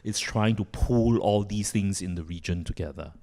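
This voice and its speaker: Panelist from Singapore, man, low voice, thoughtful, recorded in echoey hall. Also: moderate pace, dark tone, slight background noise. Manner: thoughtful, frequent you know. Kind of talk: panel discussion.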